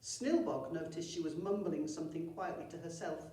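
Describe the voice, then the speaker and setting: Woman, mellow and lowish voice, expressive storytime reading, teacher, very echoey distant recording. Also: moderate pace. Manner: animated, theatrical delivery. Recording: very echoey room, distant mic.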